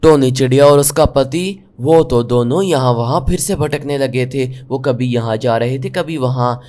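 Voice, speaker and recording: A man's voice: medium pitch, moderate pace, darkish tone. A man with a mid-range voice serves as the narrator of a cartoon tale. He reads scripted story narration for children.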